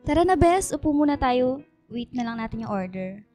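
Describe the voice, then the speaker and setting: A woman's voice: high voice, animated, moderate pace, mellow, soft background music. A woman with a Filipino accent playing a sassy, reluctant young single woman in a radio drama. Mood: casual, teasing.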